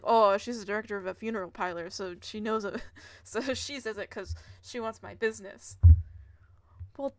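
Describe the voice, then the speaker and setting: A woman's voice: a little high, no room sound. A woman game streamer giving casual, chatty gameplay commentary with informal, rambling asides. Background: video game music.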